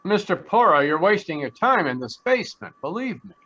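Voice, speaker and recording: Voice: mid-range voice, big pitch swings, fairly quick, fairly bright tone, dull audio. An actor playing a brash young elevator operator with casual, dismissive talk, in a radio play over video call.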